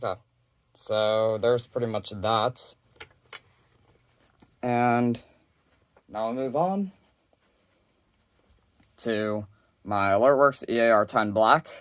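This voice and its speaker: A man's voice: mid-range voice, slow pace, long pauses, clean tone, dry recording. A man giving a casual, rambling, offhand hands-on demo of his collection, presenting as a hobby collector. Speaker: weather radio collector presenting.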